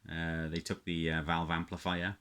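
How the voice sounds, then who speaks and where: A man's voice: low-pitched, little pitch movement, normal speed, fairly bright tone. A middle-aged man from Wales with a South Welsh accent, talking off the cuff in a hesitant, rambling, reminiscing way as he shows his hobby. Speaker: radio hobbyist presenter. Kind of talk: casual unscripted show-and-tell.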